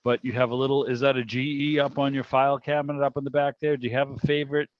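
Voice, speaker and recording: A man's voice: medium pitch, flat delivery, quick pace, no echo. A man hosting a club meeting on a video call, chatting casually in a rambling, conversational way. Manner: rambling, conversational, frequent "you know". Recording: dull, roomless video call audio.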